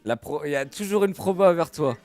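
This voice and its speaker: Male poker dealer-announcer, high register, lively informal live calls, faint music underneath. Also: fast talker. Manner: animated, informal, exclaiming. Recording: music playing faintly behind the voice.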